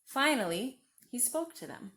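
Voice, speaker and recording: A woman's voice: fairly high pitch, big pitch swings, a little slow, fairly bright tone. A woman storyteller reading a children's story aloud expressively, with friendly asides addressed directly to young listeners.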